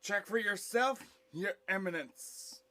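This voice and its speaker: A man's voice: high voice, slow, bright, forceful tone, pitched above the performer's own voice, full character voice. A man playing a British explorer in an audio drama, urgent and formal as he addresses his superior. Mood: urgent, deferential.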